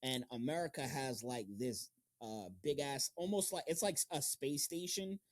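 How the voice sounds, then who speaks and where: A man's voice: mid-range voice, normal speed. Casual, profane male podcast host. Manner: heavily profane, slangy, emphatic. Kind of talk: casual interview chat.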